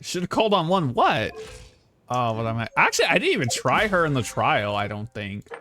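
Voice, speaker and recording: A man's voice: medium pitch, big pitch swings, brisk, sharp tone, slight background noise. A man streaming a game with casual commentary, rambling and thinking aloud in an informal way. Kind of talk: casual livestream gameplay commentary. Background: faint game music.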